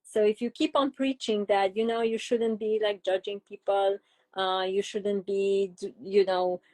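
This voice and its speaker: A middle-aged woman with a Romanian accent speaks as a guest psychologist in an interview. She explains patiently and builds her points through everyday examples, pausing on fillers as she thinks. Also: medium pitch, level tone, a little mellow. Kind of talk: interview conversation.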